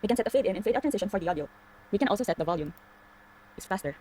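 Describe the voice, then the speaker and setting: Very fast-talking woman narrating a tutorial. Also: medium pitch, expressive, a little mellow, a little hiss or hum. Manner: clear step-by-step explaining. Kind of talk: scripted instructional narration.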